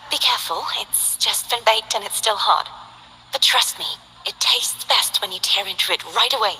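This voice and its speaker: A cheerful young dancer. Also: female voice, mid-range voice, slight background noise, rough recording. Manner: warm, chatty and candid. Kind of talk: game voice lines.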